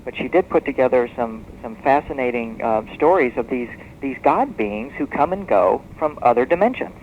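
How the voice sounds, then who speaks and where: A man speaking as an expert guest in a radio interview, explaining history as a story in a measured, discursive way. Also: mid-range voice, lively intonation. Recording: muffled noisy shortwave radio tape.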